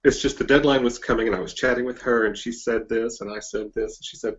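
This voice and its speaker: Man, American accent, podcast host, mid-range voice, informal halting chat, slightly muffled lo-fi audio. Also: medium pitch, steady tone. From southern US or Mexico border region (Texas, Oklahoma area). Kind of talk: casual interview chat.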